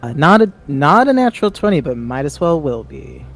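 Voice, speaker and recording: A man's voice: fairly high pitch, sing-song, fairly quick. A man playing a tabletop roleplaying game, describing what his character does. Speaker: player.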